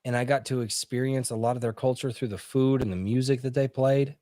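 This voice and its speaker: A man hosting a heated podcast discussion, talking emphatically, swearing and slowing down to stress his points. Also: mid-range voice, fairly even intonation, mellow, dry recording, studio quality. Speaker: host.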